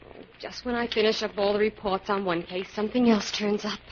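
A woman's voice: medium pitch, steady tone, a little fast, slight background noise, close to an everyday voice. A woman playing a keen, loyal crime-fighting partner, eager and attentive, in old-time radio style. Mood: attentive, curious. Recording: muffled vintage radio recording.

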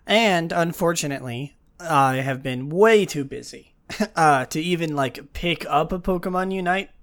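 Raspy, groggy young man, slightly high. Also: unhurried, a bit bright. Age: young adult. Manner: rambling, many fillers and restarts. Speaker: podcast host. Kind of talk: casual news podcast.

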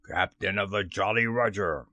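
A man's voice: fairly low pitch, moderate pace, sharp tone, no room sound, dull audio, pitched below the performer's own voice. A man voicing a mischievous boy who imitates a bombastic pirate captain, taunting, in a storybook. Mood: bold.

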